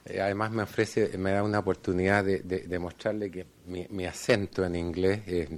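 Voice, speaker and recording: A deep-voiced middle-aged man addresses a panel in a conference hall. He speaks in a measured, formal way and often stops on small fillers as he builds his points. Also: little pitch movement, a little hiss or hum. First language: Spanish. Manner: measured, hesitant with frequent fillers. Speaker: panel speaker, law school dean.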